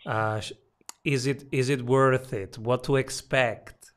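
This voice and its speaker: Male interview host, unhurried delivery, encouraging short responses. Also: mid-range voice, fairly even intonation, clear, resonant tone.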